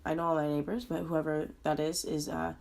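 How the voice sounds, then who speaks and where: A young woman in her twenties, hoarse and sick, talking earnestly about her struggles in a personal vlog, with frequent pauses and ums. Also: low voice, level tone, darkish tone. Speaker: vlogger.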